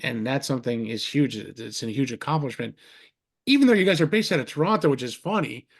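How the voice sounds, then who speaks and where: A man hosting a podcast over a video call, rambling warmly, restarting his sentences and heaping compliments on his guests. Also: medium pitch, expressive, fast. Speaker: podcast host. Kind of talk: casual interview chat.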